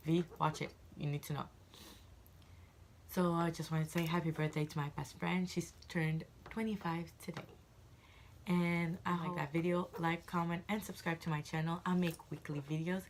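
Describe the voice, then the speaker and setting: Low, gravelly young woman vlogging. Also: a little hiss or hum. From Egypt. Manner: rambling, with frequent fillers and restarts. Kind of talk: casual chat to camera.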